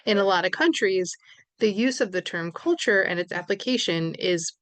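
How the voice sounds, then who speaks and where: A woman's voice: mid-range voice, animated, moderate pace, a bit bright, no room sound. A woman from New York with a New York accent, giving a recorded social work lecture in a conversational way, with fillers and small self-corrections.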